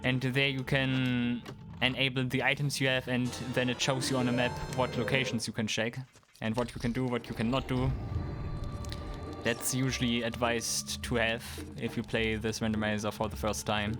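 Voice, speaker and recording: A man's voice: medium pitch, moderate pace. German man streaming a game, music behind. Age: 30s. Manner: relaxed, answers chat questions, chuckles. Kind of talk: casual livestream commentary.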